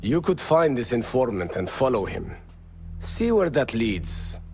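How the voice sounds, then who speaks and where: Fierce rebel leader, mid-pitched voice, curt and forceful. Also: male voice, very animated, measured pace, faint music behind. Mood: commanding.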